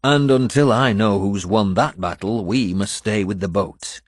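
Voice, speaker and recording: A man's voice: lowish voice, unhurried, no echo, clean studio sound. A man voicing a harsh, brusque warrior lord who is protective but impatient, in an audiobook. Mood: strained patience. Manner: forceful, brusque.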